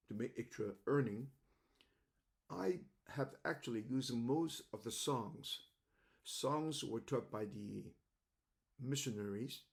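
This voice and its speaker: An older man from Macau, speaking English as an in a halting, reflective monologue, often repeating words, recorded on a webcam at home. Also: medium pitch, creaky. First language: Cantonese. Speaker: host. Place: home room indoors.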